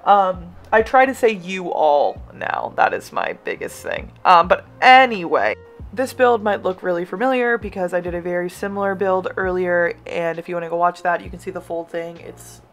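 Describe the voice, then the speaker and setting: A woman's voice: fairly low pitch, lively intonation, normal speed, faint music behind. Rambling American woman with a slight lisp. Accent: General American. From United States. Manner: rambling, self-interrupting, laughs often. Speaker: game builder and youtuber. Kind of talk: casual voiceover of a game build.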